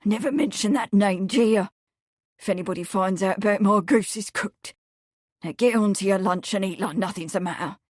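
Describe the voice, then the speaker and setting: Footman, read by a woman: fierce, secretive, low and terse, commanding, in a dry storybook recording. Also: normal speed, dry recording, natural voice. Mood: fierce, urgent.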